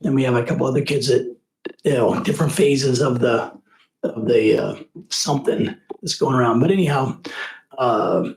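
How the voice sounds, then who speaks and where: Hoarse man giving a rambling report. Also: medium pitch, normal speed, darkish tone, rough recording. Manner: rambling, folksy, many uh fillers. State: hoarse from a cold. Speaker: school board trustee. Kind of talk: informal meeting report. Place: school board meeting room.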